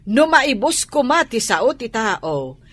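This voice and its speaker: A woman's voice: on the high side, sing-song, takes it slowly, fairly bright tone, breathy edge, dry recording, exaggerated voice. A woman playing a blunt, bossy busybody who nags emphatically in a radio drama. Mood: mocking, nagging. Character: bossy, blunt, scolding female cousin and busybody.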